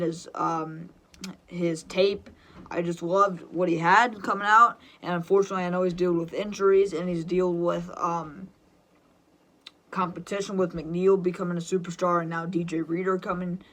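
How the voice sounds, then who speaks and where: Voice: mid-range voice, a bit bright. An enthusiastic, opinionated fan host giving mock draft commentary on football.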